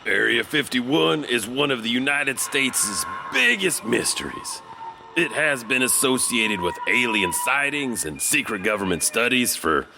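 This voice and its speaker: Gravelly, lively male narrator. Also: medium pitch, a little slow, noisy background. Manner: lively, chuckling storyteller. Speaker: podcast narrator. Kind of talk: horror podcast narration. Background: music.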